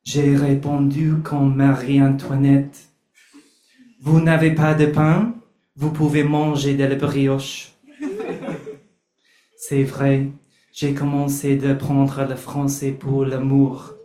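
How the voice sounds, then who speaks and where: A man's voice: medium pitch, completely flat delivery, slow pace, pauses often, mellow. A man performing spoken word poetry with a wry, theatrical delivery, then hosting an open mic. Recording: roomy venue sound.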